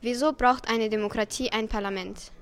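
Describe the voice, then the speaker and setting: A woman's voice: on the high side, steady tone, normal speed. A teenage girl co-presenting a school podcast, reading from a script. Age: teens. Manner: reads script lines.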